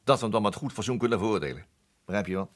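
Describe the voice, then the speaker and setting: An actor playing a blunt, world-weary colonial army captain in a radio play, talking in a familiar, musing way. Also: male voice, on the low side, animated, racing, heavy background noise, lightly acted. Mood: blunt, wary.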